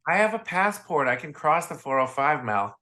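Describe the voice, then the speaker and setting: A man's voice: a little high, very animated, normal speed, bright, forceful tone. A man from Chicago with an American accent, a podcast guest telling casual, chatty anecdotes and voicing the dialogue in them. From Chicago, United States. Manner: chatty, acts out quoted dialogue.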